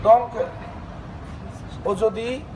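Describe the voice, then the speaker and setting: A man speaking earnestly at an outdoor protest, halting often with fillers and restarts. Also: very high-pitched, steady tone, dark tone. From Bangladesh. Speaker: human rights association president. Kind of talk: street protest speech. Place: outdoors on a city square at a protest. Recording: noisy street recording.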